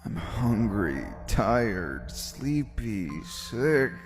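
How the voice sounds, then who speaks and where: A man's voice: lowish voice, extremely slow, faint music behind, heavily acted. A man voicing an exhausted, polite young office worker who gets flustered, in an earnest manga dub style. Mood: weary, despairing. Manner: earnest, weary, expressive. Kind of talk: anime-style manga dub narration and dialogue.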